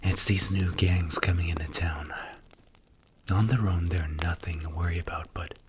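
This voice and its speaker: A man playing a strict, commanding mafia boss in an ASMR roleplay, speaking in a stern, deliberate, clipped way. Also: sits low, steady tone, background noise, lightly acted. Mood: grave, confiding.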